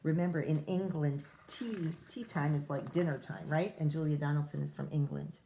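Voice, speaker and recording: A woman reading a rhyming picture book to children as their teacher, expressive, with casual explanatory asides. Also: sits low, moderate pace, slight background noise.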